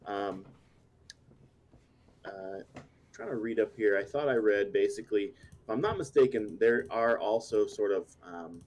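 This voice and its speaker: A man narrating as game master, hesitant and full of pauses while he checks his notes. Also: lowish voice, darkish tone. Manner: halting, many fillers while reading up.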